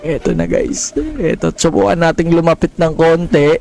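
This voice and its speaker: A man's voice: on the high side, big pitch swings, normal speed, vocal fry, darkish tone, soft background music. A Filipino man hosting casual, jokey gameplay commentary, speaking straight to his viewers. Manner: jokey, addresses viewers directly. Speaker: gaming content creator, host.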